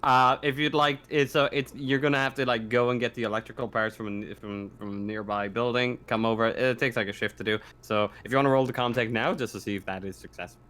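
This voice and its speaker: A man's voice: mid-range voice, fairly even intonation, quick pace, hardly any pauses, fairly bright tone. A man running a casual tabletop game as game master, with a joking, profane way of talking.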